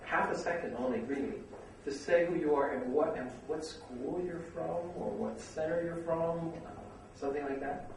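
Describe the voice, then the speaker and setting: A man's voice: fairly high pitch, fairly even intonation, normal speed. Middle-aged guy hosting a seminar, lots of ums, echoey room, mic far off, noisy. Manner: hesitant, full of fillers. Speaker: seminar host, vice dean. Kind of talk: opening remarks at a university seminar. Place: lecture room.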